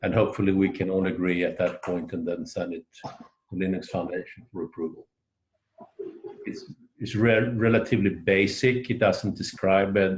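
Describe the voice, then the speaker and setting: A man's voice: deep voice, rough recording. A man in a work meeting on a conference call, explaining things hesitantly and repeating words as he goes. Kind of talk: meeting discussion.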